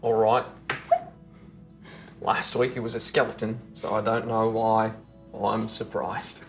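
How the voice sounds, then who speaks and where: A man's voice: lowish voice, little pitch movement, slow pace, music in the background. A man playing a stiff, restless adventurer in a tabletop game, blunt and easily startled. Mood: baffled.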